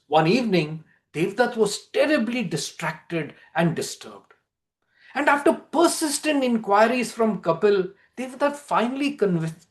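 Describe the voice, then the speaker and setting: A man with an Indian accent narrating a play as a theatrical storyteller, with emphatic pauses. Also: sits high. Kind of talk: dramatic storytelling of a play synopsis.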